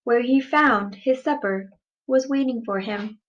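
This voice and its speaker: A woman reading a picture book aloud as a teacher to children, deliberate and expressive, stressing words for young listeners. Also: a little high, lo-fi sound.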